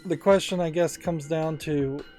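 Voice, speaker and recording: Slow, mellow man musing over a game. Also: fairly high pitch, steady tone, faint music behind. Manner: musing, analytical. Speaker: let's play host. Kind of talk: casual game commentary.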